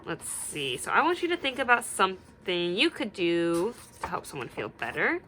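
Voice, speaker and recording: A woman's voice: on the low side, huge pitch swings, normal speed, background noise. A woman teacher reading aloud to young children, expressively, with simple explanations and questions to her listeners.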